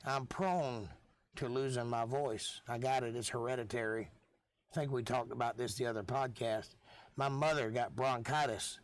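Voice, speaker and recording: A middle-aged man, hoarse from losing his voice, chatting casually with viewers as host of a live stream. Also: medium pitch, no echo. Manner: laid-back, chatty, folksy.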